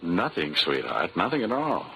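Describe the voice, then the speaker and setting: An actor playing a cynical, smooth-talking insurance investigator with an American accent in a wry, deadpan 1940s radio drama style. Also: male voice, low-pitched, moderate pace, crowd noise, heavily acted, poor audio. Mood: sly, casual. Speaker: lead actor and narrator. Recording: vintage 1940s radio recording, music behind.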